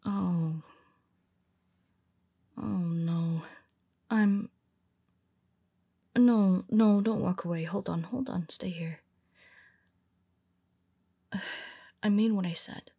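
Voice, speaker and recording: A woman voices a nervous young heroine who confesses in a low, husky half-whisper. Her words come slowly and her voice is gravelly. She trips over her own restarts and stays soft-spoken throughout. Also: dry recording, studio quality, exaggerated voice. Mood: tender, confessional. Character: tender, nervous young female superhero. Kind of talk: audio roleplay for a listener.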